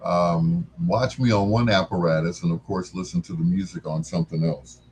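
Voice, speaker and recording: An older man hosting a music livestream, chatting casually in a laid-back, folksy, unhurried way. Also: low-pitched, darkish tone. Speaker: livestream music show host.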